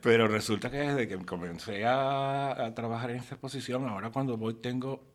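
A man's voice: medium pitch, brisk. A middle-aged man speaking reflectively and unscripted as a guest, searching for his words. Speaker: panel guest.

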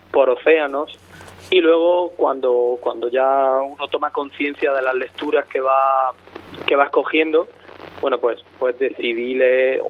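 A man with a mixed southern Spanish accent, between Murcian and Andalusian, talking as a guest in a radio interview in a reflective, halting way over a phone. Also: mid-range voice. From Lorca, Murcia, southeastern Spain. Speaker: travel writer, interview guest. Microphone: telephone. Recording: tinny narrow phone line.

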